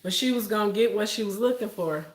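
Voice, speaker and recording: Animated woman telling a story, echoey room. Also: fast talker. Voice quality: deep voice for a woman. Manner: animated, laughing, talks straight to viewers. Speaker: vlogger. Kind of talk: casual storytelling to viewers.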